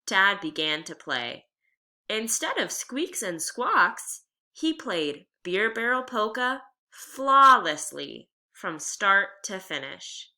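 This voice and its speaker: A woman reading a children's memoir aloud like a teacher, with expressive, deliberate storytelling delivery. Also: mid-range voice.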